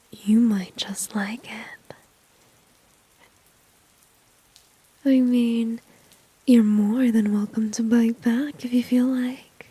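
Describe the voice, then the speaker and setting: A woman plays a dominant, flirtatious villainess in an intimate ASMR roleplay. Her whisper is soft, mellow and very breathy, unhurried and smugly teasing. It sits close and dry on the microphone. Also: on the high side, no echo, full character voice, low-quality recording. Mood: flirty, dominant. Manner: slow, teasing, smug, intimate. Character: seductive, dominant female villain teasing a shy enemy.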